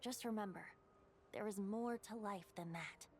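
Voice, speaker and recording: A woman playing a playful, gentle boy bard with an old god's wisdom, musing and lightly teasing, in game dialogue. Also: mid-range voice, normal speed, slight background noise, natural voice. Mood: reflective.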